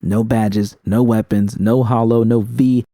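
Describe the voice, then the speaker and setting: A man reading first-person fiction as an audiobook, with tense, expressive dramatic storytelling. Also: fairly low pitch, measured pace, no room sound, clean studio sound.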